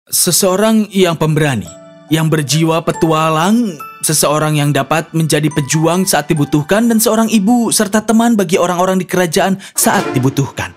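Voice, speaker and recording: A woman plays a noble young prince from a storybook, pitched lower. He sounds courteous, trusting and easygoing, with music in the background. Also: animated, normal speed. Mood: curious, earnest. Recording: music behind the voice.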